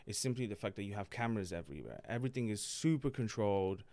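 A young man, born in Italy with Italian as his first language, chatting as a comedian guest on a podcast, animated and quick to laugh. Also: on the low side, no echo. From Sicily, Italy. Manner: animated storyteller, laughs often, acts out voices. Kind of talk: casual podcast chat.

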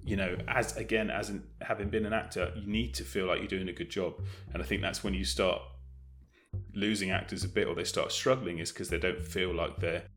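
A man's voice: low-pitched, steady tone, brisk, a bit bright. A British man talking as an interview guest in a casual, hesitant, thoughtful and self-effacing way. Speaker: audio drama director, interview guest.

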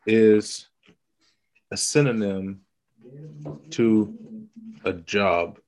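A man hosting a casual Bible lesson over a video call, his voice raspy from illness, explaining things slowly and teasing his partner. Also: fairly low pitch, steady tone, darkish tone.

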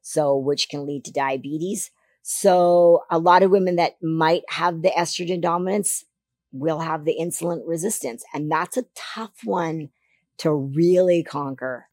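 A woman with a notably low, deepened voice talks earnestly as an interview guest. Her speech is full of restarts and fillers. The recording is dry and close. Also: unhurried, darkish tone, no echo. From Canada. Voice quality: deeper voice than before. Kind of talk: casual interview talk.

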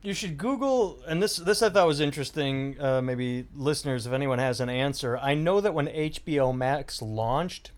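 A man's voice: medium pitch, moderate pace, hardly any pauses. A middle-aged man hosting a podcast, rambling casually, swearing freely and restarting his sentences. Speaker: podcast host. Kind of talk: casual chat.

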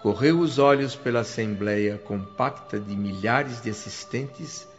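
A man narrating a radio drama in a calm, reverent way, over soft music. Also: lowish voice. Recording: dull lo-fi radio-drama recording.

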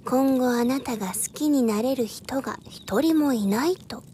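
A woman acting as a worldly, self-assured adviser in an audio drama, speaking in a deliberate, persuasive, feminine way. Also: sits high, mellow, slight background noise, natural voice.

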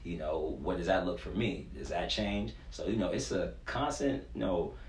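A man in his twenties with a very low voice talks in a casual, slangy way, like a coach in an interview. The room around him is echoey, with a faint noise underneath. He comes across as earnest and informal. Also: normal speed. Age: 20s. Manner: informal, slangy, earnest, frequent "you know". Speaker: youth sports performance coach, interview guest.

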